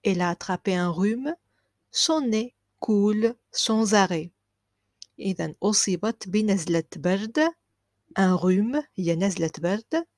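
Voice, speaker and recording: A woman teaching a language lesson. She repeats each phrase slowly and clearly, then explains it quickly. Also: medium pitch, a little mellow, dry recording, studio quality. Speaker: French teacher.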